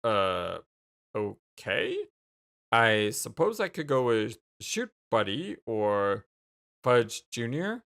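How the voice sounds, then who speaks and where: A woman's voice: rumbling low, sing-song, takes it slowly, vocal fry, pitched below the performer's own voice, heavily acted. A woman voicing an oblivious, apologetic young man who rambles in wordy, old-fashioned folksy slang in a dramatic dialogue. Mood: sheepish.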